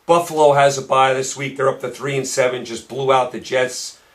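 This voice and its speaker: A man hosting a solo sports picks show, hoarse and losing his voice, talking emphatically and repeating points for stress. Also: medium pitch, fairly even intonation, moderate pace, fairly bright tone.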